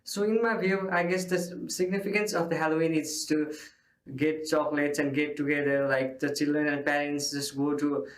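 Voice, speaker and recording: A young man answers interview questions earnestly, in plain, simple phrases. His voice sits slightly high, and the room around him is echoey. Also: flat delivery, moderate pace, a little hiss or hum. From Nepal. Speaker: interviewed student. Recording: roomy echo.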